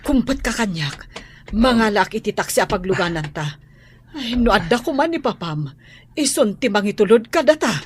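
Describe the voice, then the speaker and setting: A woman's voice: mid-range voice, animated, normal speed, close to an everyday voice. A woman playing an anxious, deferential household maid in an Ilocano radio drama, with noise behind her. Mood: alarmed, urgent.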